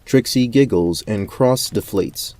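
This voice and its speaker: A man narrating a scripted fantasy story in a measured, descriptive storyteller's manner. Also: lowish voice, darkish tone, no echo.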